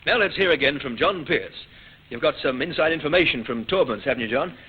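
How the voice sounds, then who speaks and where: A man's voice: on the high side, expressive, brisk. A man hosting an old-time radio riddle show for children in front of an audience. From Australia. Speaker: radio quiz show host. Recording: noisy, dull old radio recording with audience. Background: studio audience, heavy noise.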